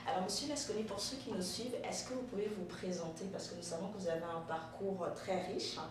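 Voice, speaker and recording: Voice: medium pitch, fast talker. Hesitant interviewer in an echoey room. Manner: polite, hesitant with fillers. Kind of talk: interview questions. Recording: echoey room, mic far off, clear noise.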